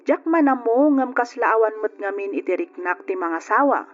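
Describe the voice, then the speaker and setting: A woman's voice: a little high, lively intonation, moderate pace. A woman playing a single woman who tells her life story with an Ilocano accent, as plaintive radio drama narration. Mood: reflective. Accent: Ilocano, from the Philippines. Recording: lo-fi radio recording with music behind. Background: background music.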